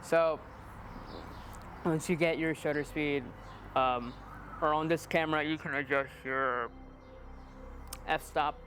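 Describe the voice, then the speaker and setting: A man's voice: on the high side, steady tone, slow, pauses often, fairly bright tone, soft background music. A man of twenty-one chatting casually in a rambling, self-deprecating, playfully joking way. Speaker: interviewee, amateur videographer. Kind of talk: casual chat.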